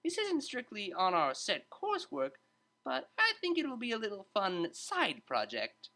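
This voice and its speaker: A narrator voicing a cheerful, meddling male pony psychology tutor with an animated lecturing manner. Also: mid-range voice, moderate pace, pitched above the performer's own voice, full character voice. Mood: patient, eager.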